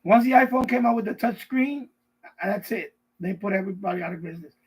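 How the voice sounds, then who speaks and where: A middle-aged man with a notably high voice that has a husky, rough edge. He chats casually as a live stream host, wandering from thought to thought and circling back to repeat himself. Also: quick pace, darkish tone, rough recording. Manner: rambling, repeats himself often. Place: basement.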